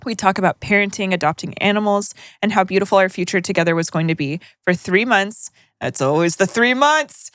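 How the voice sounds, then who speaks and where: A woman's voice: mid-range voice, brisk, a bit bright, no room sound, clean studio sound. A young woman co-hosting a chat podcast, reading a listener's letter aloud with joking, profane asides. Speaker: podcast co-host.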